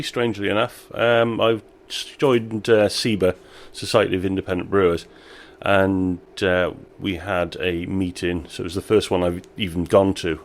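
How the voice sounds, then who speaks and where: A man who owns a small brewery, chatting in an interview with a relaxed, self-deprecating manner and frequent laughter. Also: low voice. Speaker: brewery owner. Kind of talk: casual interview chat.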